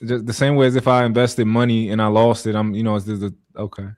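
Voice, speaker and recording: A soft-spoken man on a podcast panel, halting with many restarts as he defends his view. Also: fairly low pitch, flat delivery. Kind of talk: casual discussion.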